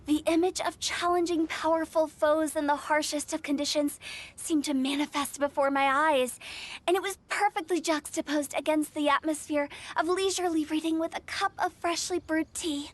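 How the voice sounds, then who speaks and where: A woman's voice: high-pitched, steady tone, moderate pace, natural voice. A woman voicing an eloquent, formal poetry judge in an anime game. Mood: impressed.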